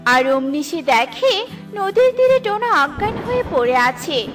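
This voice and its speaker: High-voiced woman telling a children's story. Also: sing-song, unhurried. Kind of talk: scripted children's story narration. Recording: music behind the voice.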